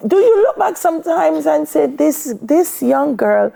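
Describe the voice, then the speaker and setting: A woman's voice: high voice, sing-song, measured pace, creaky, warm tone. A woman with a Jamaican accent co-hosting a morning TV show, joking playfully. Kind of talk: casual TV chat.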